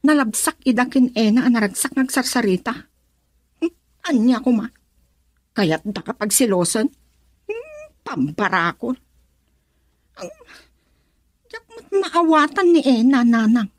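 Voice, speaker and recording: A woman's voice: on the high side, sing-song, unhurried, pauses often. A woman with an Ilocano accent playing an irritable, jealous young daughter in a radio drama, with a whiny, exasperated, emphatic manner. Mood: whiny, resentful.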